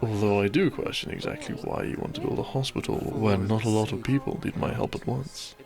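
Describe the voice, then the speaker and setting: Low, creaky-voiced devoted doctor played by a man. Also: fairly quick. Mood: modest, mildly doubtful. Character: gentle, devoted male country doctor and bridegroom. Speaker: audio drama voice actor. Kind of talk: romantic roleplay audio drama acting.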